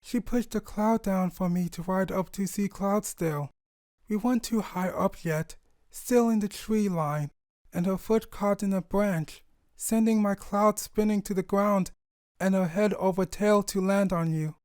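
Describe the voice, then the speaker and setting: Guy putting on a sweet lady pony from a storybook, high voice, earnest and reassuring, real close dry sound. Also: a little slow, darkish tone, no room sound. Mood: fond, nostalgic. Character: kindly female pony friend, affectionate, reassuring, nostalgic.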